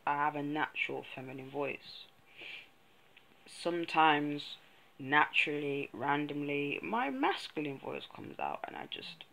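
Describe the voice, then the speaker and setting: Young man, slow unhurried delivery, hesitant and frank, lots of ums, casual vlog. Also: a little high, gravelly, pushed and bright, poor audio. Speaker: vlogger. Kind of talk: casual personal vlog talk.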